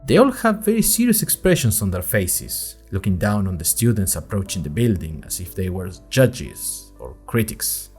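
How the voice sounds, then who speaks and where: A man's voice: low voice, sing-song, normal speed, hardly any pauses, darkish tone, husky, faint music behind, heavily acted. A man playing a chatty, nervous young college student who narrates his story in a wry, conversational way with dramatic emphasis. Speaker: narrator of an audio fiction podcast. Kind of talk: scripted first-person storytelling, diary-like.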